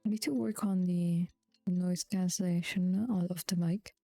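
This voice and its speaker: A woman live streaming a video game, reacting casually in a slightly flat, mellow voice. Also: lowish voice, normal speed, no echo, studio quality, faint music behind.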